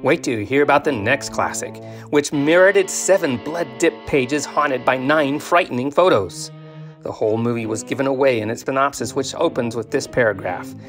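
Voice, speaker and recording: A man's voice: medium pitch, a bit bright. Man narrating theatrically over guitar music. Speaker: podcast segment presenter. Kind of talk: scripted magazine-review narration. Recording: music bed under the voice.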